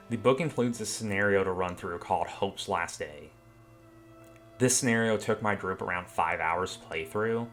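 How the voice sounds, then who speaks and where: A man giving a scripted review narration as a tabletop game reviewer, with a measured, explanatory delivery. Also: deep voice, a bit bright. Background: background music.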